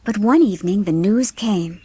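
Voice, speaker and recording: A woman's voice: medium pitch, normal speed, dark tone, husky. A woman reading a classic novel as an audiobook narrator, in scripted storytelling style.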